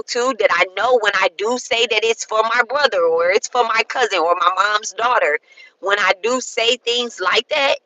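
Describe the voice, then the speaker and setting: A woman's voice: on the high side, sharp tone, dry recording, rough recording. A woman in her thirties gives a casual spiritual talk to her viewers. She rambles, repeats herself, tags lines with "okay?" and swears freely. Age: 30s. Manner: frank and profane, colloquial phrasing. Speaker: spiritual content creator.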